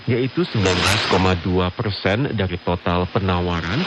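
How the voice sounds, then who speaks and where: A man's voice: lowish voice, lo-fi sound, very noisy. A man reads scripted radio news as a co-host on a shortwave broadcast.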